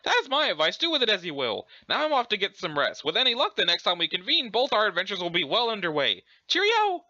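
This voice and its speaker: A man voicing a chatty, scholarly, excitable alien troll with an affected British accent and a pompous, wordy manner. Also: sits high, fast, hardly any pauses, no room sound, dull audio, exaggerated voice. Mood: stirring, jaunty. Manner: pompous, wordy, effusively enthusiastic. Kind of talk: theatrical character reading of a webcomic.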